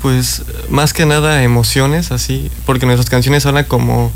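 Young band member in his twenties, flat halting speech with a gravelly edge. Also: mid-range voice, slight background noise. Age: 20s. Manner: hesitant, many restarts and fillers. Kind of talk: interview answers.

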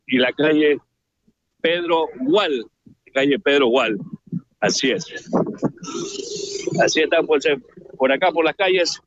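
A man's voice: fairly high pitch, fairly bright tone, muffled recording, slight background noise. A man, giving a rambling, chatty live radio report from a city street. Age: 60s. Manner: rambling, chatty, addresses studio partner.

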